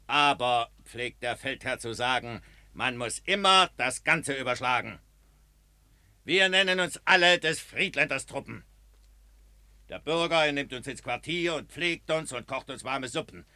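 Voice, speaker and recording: A shrewd, lecturing veteran soldier. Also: male voice, medium pitch, expressive, measured pace, pushed and bright, no echo, full character voice. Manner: lecturing, persuasive. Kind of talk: classic verse radio play.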